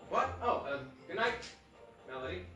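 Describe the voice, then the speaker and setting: Actor as a brash, sharp-voiced young wise guy. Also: sits high, big pitch swings, moderate pace, lo-fi sound, faint music behind, full character voice. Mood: friendly, awkward. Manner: brash, wry. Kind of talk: 1940s radio play acting. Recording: echoey hall, distant mic, faint noise.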